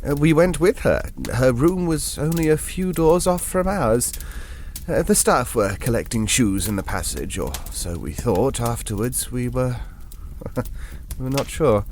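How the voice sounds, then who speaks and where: An actor playing an eager, courteous, slightly nervous gentleman who speaks and narrates hesitantly in an audio drama. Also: medium pitch, a little slow, slight background noise. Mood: hesitant, recounting. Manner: genteel, hesitant, excitable.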